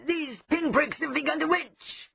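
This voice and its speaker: A shrill, panicky rogue. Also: male voice, a little slow, gravelly, bright, forceful tone, no room sound, studio quality, heavily acted. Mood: aggrieved. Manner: shrill, frantic yelping. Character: pop-eyed cowardly rogue, croaking and panicky.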